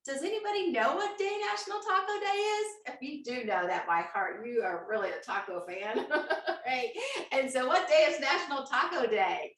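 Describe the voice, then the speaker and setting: Woman host, bright and forceful, chatty audience quizzer, set back from the mic in an echoey room. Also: sits high, animated, moderate pace, distant mic. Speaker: host of an online cooking show. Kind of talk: upbeat live-stream hosting.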